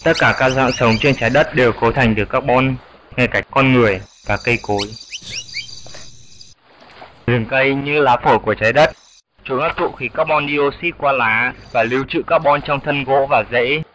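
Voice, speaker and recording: A man voicing an old grandfather flying fox in an educational cartoon, explaining things in a measured, didactic way. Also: mid-range voice, fairly bright tone, slight background noise, close to an everyday voice, low-quality recording. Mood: instructive.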